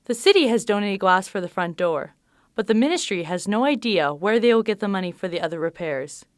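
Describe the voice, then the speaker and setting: A woman reading a scripted news report as a student reporter, in a measured reporting cadence. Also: mid-range voice, expressive, no echo.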